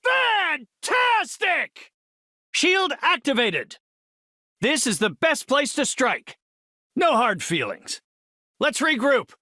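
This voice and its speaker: An actor playing a courteous, confident middle-aged superhero scientist in a video game, speaking in an articulate, formal and erudite way. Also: male voice, very high-pitched, big pitch swings, takes it slowly, pauses often, bright, forceful tone, no room sound, studio quality, heavily acted. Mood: urgent, commanding. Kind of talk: video game character voice lines.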